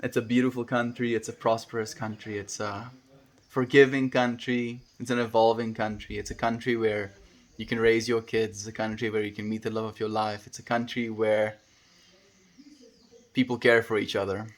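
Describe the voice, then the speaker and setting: A man's voice: fairly low pitch, level tone, moderate pace. A man chatting casually with viewers on a livestream, meandering and laid-back with many fillers. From South Africa. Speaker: livestreamer reading chat. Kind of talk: casual spiritual chat with viewers.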